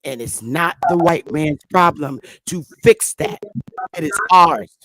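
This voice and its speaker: A woman's voice: low-pitched, slow, fairly bright tone, no room sound, poor audio. Emphatic woman hosting a talk. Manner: emphatic, repeats points for stress. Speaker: host. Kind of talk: casual online group discussion.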